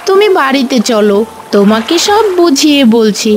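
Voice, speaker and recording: A woman voicing a hopeful, practical village wife in an animated folk tale, coaxing and explaining. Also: high-pitched, moderate pace.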